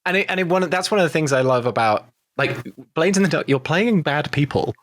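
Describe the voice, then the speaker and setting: A man's voice: a little high, animated, fairly quick, fairly bright tone, no echo. A man in casual roundtable conversation as a podcast cast member, giving his opinions with emphatic repetition.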